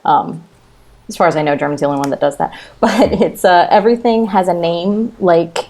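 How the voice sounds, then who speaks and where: A woman's voice: fairly low pitch, brisk, darkish tone. A woman guesting on a podcast, chatting casually and comically in a wry way. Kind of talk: casual comedic chat. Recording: slight background noise.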